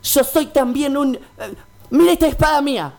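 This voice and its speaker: Woman playing a high-pitched lovelorn police sergeant. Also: a little mellow. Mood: tragic, florid. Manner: florid, halting declamation. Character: lovelorn male police sergeant, florid, jealous and melodramatic. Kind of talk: comic radio melodrama.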